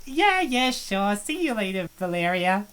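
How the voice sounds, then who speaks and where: A woman voicing an earnest young college friend who gently pries, in a fan dub of a visual novel. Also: mid-range voice, sing-song, a little slow, clear, resonant tone. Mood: concerned.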